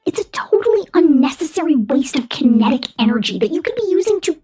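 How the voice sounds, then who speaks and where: A woman's voice: high-pitched, big pitch swings, fast talker, warm tone, soft background music. A woman voicing a domineering, sadistic female corporate killer robot in a menacing, half-whispered ASMR roleplay monologue. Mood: haughty, possessive. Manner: menacing, contemptuous, commanding, breathy half-whispered delivery.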